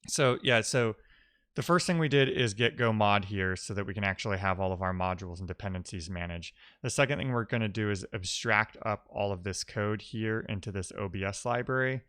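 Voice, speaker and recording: A man with a fairly low, crisp voice talks informally as he codes on a livestream. He thinks aloud and chats to his viewers. The sound is dry and close, with little room to it. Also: brisk, fairly bright tone, dry recording. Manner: informal, reading and answering chat messages aloud. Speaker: live-streaming programmer, host. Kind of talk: casual live-coding stream chat, thinking aloud.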